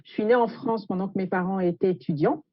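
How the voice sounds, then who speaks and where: A woman from Gabon speaking as a guest chef, recounting her background in an interview with drawn-out hesitations. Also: medium pitch, dry recording. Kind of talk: autobiographical account in an interview. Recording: muffled lo-fi audio.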